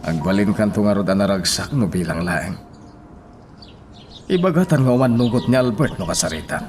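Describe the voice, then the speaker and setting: An actor playing a concerned father gravely counseling his daughter in a radio drama. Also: lowish voice, expressive, moderate pace, music in the background, close to an everyday voice. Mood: pleading.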